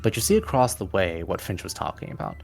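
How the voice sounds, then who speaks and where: Deep, creaky-voiced male game master. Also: huge pitch swings, fast. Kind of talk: narrating a tabletop fantasy game.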